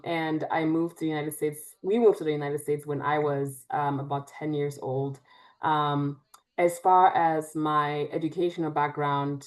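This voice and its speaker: A woman's voice: low-pitched, poor audio. A young woman from Cameroon, a psychiatrist, answering interview questions with frequent "um"s. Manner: frequent "um" before phrases. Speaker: guest, psychiatrist.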